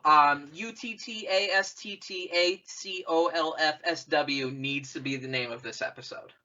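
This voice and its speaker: Bright-voiced man in casual podcast chat. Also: on the high side, a little slow, highs cut off. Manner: swears freely. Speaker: podcast co-host.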